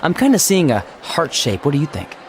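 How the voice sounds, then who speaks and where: A man playing a goofy, wrestling-obsessed high school boy in a video game, playful and mock-pompous. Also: huge pitch swings, a little fast. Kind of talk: scripted video game cutscene acting. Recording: faint background noise.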